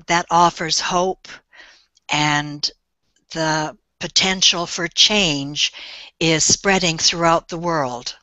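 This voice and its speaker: An older woman speaking on a webinar call as a guest, telling her story in a gentle, reflective way. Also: sits low, dry recording. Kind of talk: slow, thoughtful storytelling.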